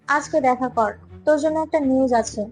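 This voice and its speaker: A high voice over music: a woman playing a pushy young best friend in an audio drama. She is chatty and familiar, scolding and insisting as close friends do. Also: normal speed, a little mellow, natural voice. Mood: teasing, insistent. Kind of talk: audio story acting.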